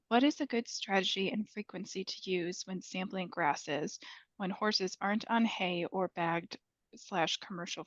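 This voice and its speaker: A woman moderator politely reads viewer questions aloud in a measured way. Her middle-pitched voice comes through dry and slightly dull, like webinar audio. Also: no echo.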